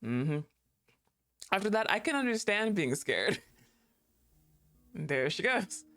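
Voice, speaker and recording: A woman's voice: mid-range voice, sing-song, slow pace, a bit bright. A young woman streaming a game, talking casually, laughing often and swearing freely.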